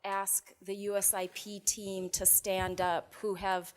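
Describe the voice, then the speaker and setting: A woman's voice: mid-range voice, flat delivery, takes it slowly. Polite woman moderating a dialogue. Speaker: program host.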